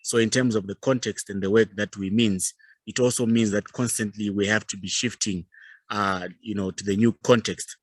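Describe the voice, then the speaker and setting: Low-voiced Zimbabwean man presenting. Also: steady tone, normal speed, no echo. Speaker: youth organization leader, panel speaker. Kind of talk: formal conference presentation.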